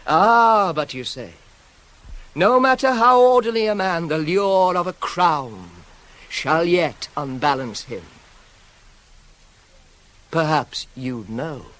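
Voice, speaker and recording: An actor playing a proud, self-controlled young prince wronged by his father, in a formal, measured, declamatory classical tragedy style for radio. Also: fairly high pitch, expressive, fairly bright tone, poor audio. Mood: indignant, proud.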